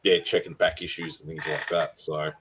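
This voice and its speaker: A man with a very low voice chats casually about comic collecting over a video call. He talks in an easygoing way and laughs things off. The talk runs loose and unhurried. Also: flat delivery, noisy background. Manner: laid-back, laughs it off. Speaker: comic collector in group chat.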